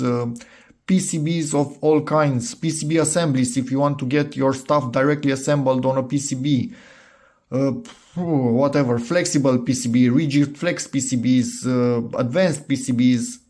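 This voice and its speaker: A man's voice: mid-range voice, a little mellow. A man giving a casual, unscripted product demo, hesitating and thinking aloud as he goes. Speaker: DIY electronics video maker.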